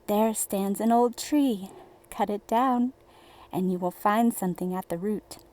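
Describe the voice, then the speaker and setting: Woman voicing a slow, mysterious little old man. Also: medium pitch, dark tone, lightly acted. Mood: kindly, solemn.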